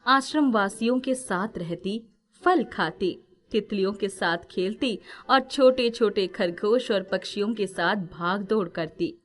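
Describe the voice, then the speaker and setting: A woman narrating a scripted moral fairy tale as a storyteller. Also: medium pitch.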